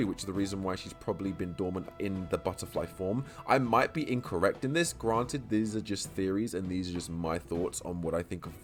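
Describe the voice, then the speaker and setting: A man with a low voice talks casually through his theories for a video, tripping over words and stuttering as he rambles along quickly. He restarts phrases and repeats himself as thoughts pile up. Faint music plays underneath. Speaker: YouTube lore creator. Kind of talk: casual game-lore vlog commentary.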